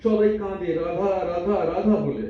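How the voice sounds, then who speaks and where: A man plays a playful young god in love, high-voiced for a man and theatrical, speaking in lilting rhymed verse. He sweet-talks and stammers his protests in a stage audio drama, heard distantly in a very echoey hall. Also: normal speed, lightly acted. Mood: sweet-talking. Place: large multipurpose hall, on stage. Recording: echoey hall, distant mic, dull sound.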